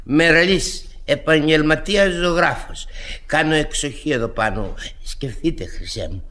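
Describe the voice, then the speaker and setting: An actor playing a wheedling, boozy vagabond painter in a Greek radio play, chatty and theatrical. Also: male voice, on the high side, fairly bright tone, close to an everyday voice. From Greece. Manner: chatty, theatrical, self-pitying and cajoling.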